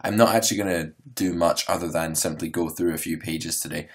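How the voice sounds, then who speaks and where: Hoarse low-voiced man explaining poker. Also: brisk. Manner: relaxed, rambling, filler-heavy explaining. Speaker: poker coach and podcast host. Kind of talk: solo instructional podcast.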